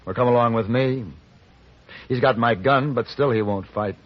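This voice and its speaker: An actor playing a shrewd American gunfighter for hire, measured and dryly commanding, in a radio western. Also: male voice, medium pitch, close to an everyday voice. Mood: goading, scornful. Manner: measured, articulate, dry, commanding. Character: calm, shrewd, goading middle-aged Western gunfighter for hire. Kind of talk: 1950s radio western acting. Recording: muffled old radio recording.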